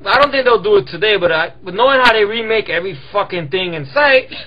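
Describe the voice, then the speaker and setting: A man co-hosting a casual film chat with friends, talking in an excitable, sweary way. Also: high-pitched, quick pace, highs cut off. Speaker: podcast co-host.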